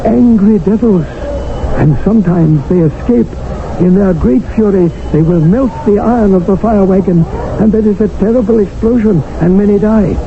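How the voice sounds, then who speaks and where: A man's voice: high-pitched, normal speed, noisy background. A man playing a proud, deferential Indian servant who narrates, speaking in formal, flowery phrases in a 1970s radio drama. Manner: formal, flowery, ceremonious speech. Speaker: narrator of the story. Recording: tinny old radio broadcast with music behind.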